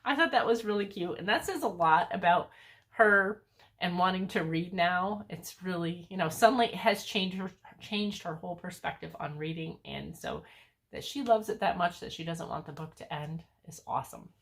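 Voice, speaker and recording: A woman's voice: on the low side, lively intonation, moderate pace, fairly bright tone. An older woman, a homeschooling grandmother, chatting enthusiastically about books in a casual way with lots of fillers. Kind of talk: casual book review chat.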